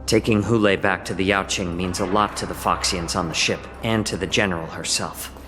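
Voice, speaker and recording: A man playing a composed, courteous fox-folk envoy in a video game, speaking in a measured, formal way with a dry teasing edge. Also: sits low, steady tone, big-room sound, over music, lightly acted. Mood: dry, cautioning.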